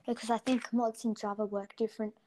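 A woman's voice: a little high, little pitch movement, brisk, background noise. Hesitant young woman gamer on a cheap mic. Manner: hesitant, many ums and trailing sentences. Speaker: interview guest, gaming YouTuber. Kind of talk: casual chat. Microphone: cheap built-in mic.

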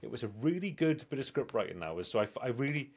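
A man's voice: medium pitch, big pitch swings, quick pace, darkish tone, a little hiss or hum. A man in his forties chatting casually as a film podcast co-host, rambling and often repeating himself. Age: 40s. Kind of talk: casual film review chat.

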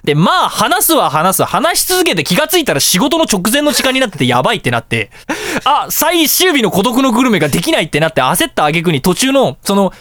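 A man hosting a radio show, telling everyday anecdotes in a casual, excitable way and acting out little exchanges. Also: high voice, no room sound, clean studio sound. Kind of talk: casual storytelling radio talk.

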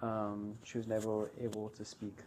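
A man's voice: lowish voice, monotone, normal speed, a little mellow, a little hiss or hum. A man chatting as a guest on a casual podcast with friends, explaining points in a plain, matter-of-fact way. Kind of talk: casual chat among friends.

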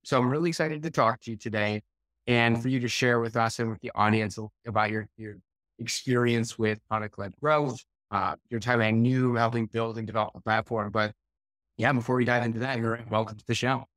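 Enthusiastic podcast host guy, middle pitched, bone-dry close mic. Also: fairly even intonation, fairly quick, fairly bright tone, no echo. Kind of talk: podcast interview.

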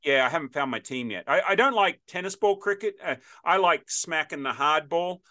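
A man's voice: on the high side, lively intonation, fairly quick, bright, forceful tone. A man in his fifties hosting a podcast interview, chatting in a rambling, storytelling way with frequent restarts. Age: 50s. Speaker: podcast host. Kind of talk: casual interview chat.

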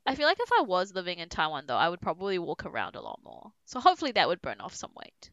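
A woman's voice: lowish voice, wildly swooping pitch, quick pace, fairly bright tone, dull audio. A young woman, hosting a casual chat with a friend and filling her talk with "like" and laughter. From New Zealand. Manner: chatty, full of "like" fillers, laughs often. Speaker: podcast host.

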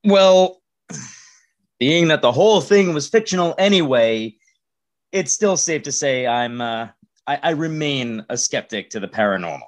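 A man's voice: on the high side, animated, measured pace. A man hosting a comedic podcast as a hesitant, stammering straight man. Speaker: podcast host.

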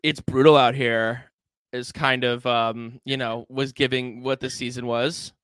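A man's voice: medium pitch, level tone, a little slow, fairly bright tone, clean tone, no room sound. A man talking casually as a podcast guest, with slangy, sweary phrasing. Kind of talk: casual chat.

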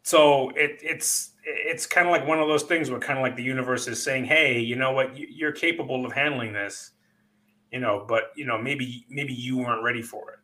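A man's voice: medium pitch, steady tone, moderate pace, bright, forceful tone. A man in his fifties hosting a casual podcast chat, rambling and profane, with lots of fillers. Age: 50s. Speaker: podcast host.

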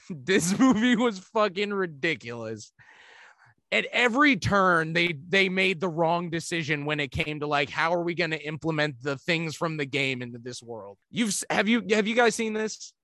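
A man's voice: a little high, lively intonation, normal speed, pushed and bright, no echo, clean studio sound. A man co-hosting a podcast, rambling through casual movie chat with lots of fillers and some swearing. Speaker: podcast co-host.

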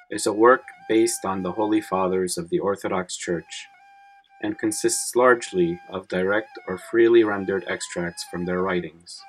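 A man reading a devotional audiobook as narrator, in a measured and solemn manner. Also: low-pitched, poor audio.

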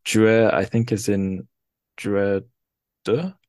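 A man's voice: on the low side, steady tone, extremely slow, darkish tone. A man hosting a podcast, talking casually and rambling with lots of fillers and self-deprecating asides. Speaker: podcast host. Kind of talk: casual chat.

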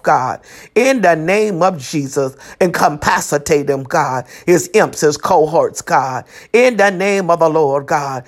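Fervent prayer leader with a mid-range voice. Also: medium pitch. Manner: repetitive, exclamatory, punctuated with praise interjections.

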